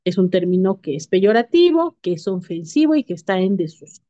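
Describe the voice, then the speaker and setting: A woman gives a lesson in an even, explanatory manner, now and then pausing on a filler or correcting herself. Her voice is mellow and soft-edged. The recording is dry and a little dull. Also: mid-range voice, dry recording. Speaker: teacher.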